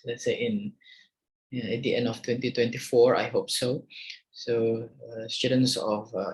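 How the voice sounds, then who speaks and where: A man's voice: lowish voice, low-quality recording. A man in his thirties with an Indonesian accent, lecturing for an online video in a hesitant way, with many fillers and restarts. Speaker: university lecturer. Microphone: video call microphone.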